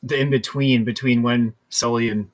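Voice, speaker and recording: A man's voice: medium pitch, steady tone, normal speed. A man co-hosting a casual gaming podcast, talking conversationally with frequent filler words. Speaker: podcast co-host.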